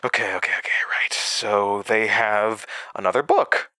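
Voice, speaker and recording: An actor in an audio drama playing a mysterious, volatile male entity living inside someone's head, speaking in a halting, cryptic way. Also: fairly low pitch, bright, forceful tone, no room sound. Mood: muttering, agitated. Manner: halting, cryptic, swinging from muttering to outbursts. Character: fragmented mysterious male entity implanted in another's mind, volatile. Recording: music behind.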